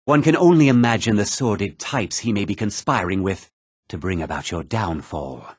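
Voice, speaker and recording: A man's voice: fairly low pitch, animated, moderate pace, husky, no room sound, studio quality. An actor playing a haughty, stern, indignant young nobleman in a video game. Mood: earnest. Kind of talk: video game character lines.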